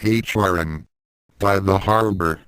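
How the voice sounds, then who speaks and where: A man's voice: low-pitched, takes it slowly, fairly bright tone, smooth, no echo. A man voicing a young woman, a sister, in storybook dialogue. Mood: worried. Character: worried young woman, morning-person sister. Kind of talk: storybook dialogue read aloud.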